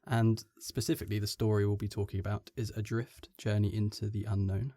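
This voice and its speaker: A male podcast co-host offers short, even remarks. His voice sits low and flat, with a mellow tone. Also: fairly quick, a little hiss or hum. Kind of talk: casual podcast chat.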